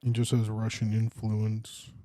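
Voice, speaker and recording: A man's voice: on the low side, completely flat delivery, a little slow, warm tone, no room sound. A man co-hosting a comedy podcast, tossing in dry one-line quips during casual studio banter. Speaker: podcast co-host.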